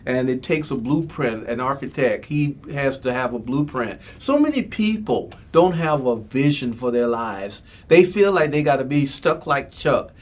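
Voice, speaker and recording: A man's voice: mid-range voice, expressive, moderate pace, hardly any pauses. A man from the Bahamas hosting a motivational talk show, speaking in preachy slogans. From Bimini, Bahamas. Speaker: host.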